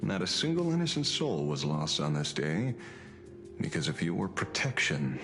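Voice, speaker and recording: A man's voice: low-pitched, very animated, moderate pace, over music. An actor playing a world-weary, mercenary older male detective in a video game, with a dry sardonic manner. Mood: earnest, reflective.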